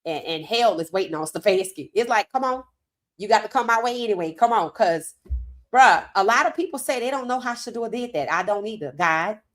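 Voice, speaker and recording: A woman hosting a sports commentary livestream, hoarse from talking, animated and emphatic with casual slang. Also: medium pitch, fairly quick. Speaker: host.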